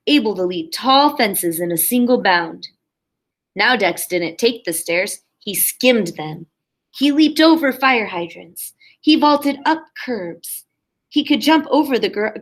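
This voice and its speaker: A woman kindergarten teacher reading a picture book aloud expressively for young children, with simple explanatory asides. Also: mid-range voice.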